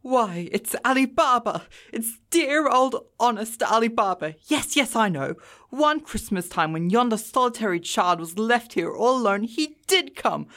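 An old man from a Victorian storybook, acted out by a woman, pitched on the high side. He exclaims with great earnestness and childlike feeling, always close to both laughter and tears. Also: a bit bright. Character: old man Scrooge, overexcited, tearful, boyishly delighted. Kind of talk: audiobook character voice, Victorian storybook.

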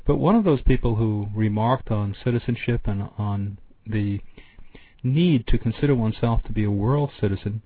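A man's voice: lowish voice, normal speed. A man hosting a discussion show, talking in an earnest, reflective way. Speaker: host. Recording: tinny phone-like sound, dry.

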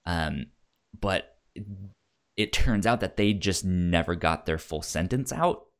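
Slow, low-voiced man with frequent stutter. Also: expressive, clean tone. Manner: frequent stutter, repeating sounds and words. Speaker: podcast co-host discussing films. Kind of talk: casual film discussion.

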